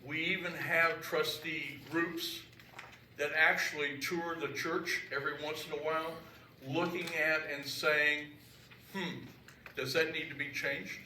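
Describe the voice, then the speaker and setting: A man reporting as a church trustee at a council meeting, loud and joking, talking freely off his notes into a handheld mic. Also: on the high side, steady tone, a little slow. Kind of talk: informal talk at a meeting. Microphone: handheld microphone. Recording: echoey room, mic far off, background noise.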